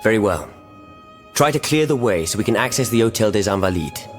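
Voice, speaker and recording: A man's voice: lowish voice, animated, brisk, close to an everyday voice. A man playing an earnest, courteous French revolutionary leader in a video game, formal and rallying in manner. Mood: earnest, determined. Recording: game dialogue over music.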